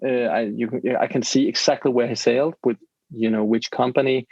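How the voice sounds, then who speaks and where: Man with a gravelly voice. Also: mid-range voice, fairly even intonation, fairly quick, a little mellow, no echo. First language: Danish. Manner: searches for English words, many restarts. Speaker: podcast host. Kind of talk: casual interview storytelling. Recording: dull lo-fi dry sound.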